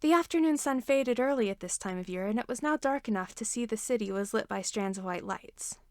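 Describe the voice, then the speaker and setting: A woman narrates scripted fiction as a podfic reader. Her voice is on the high side, and the sound is dry with very little room in it. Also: animated, brisk, a little mellow, dry recording.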